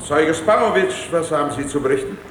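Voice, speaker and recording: An actor playing a stern, probing judge who questions in a formal, commanding way in a radio drama. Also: male voice, a little high, expressive, a little fast, heavy background noise, lightly acted. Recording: echoey hall with long reverb.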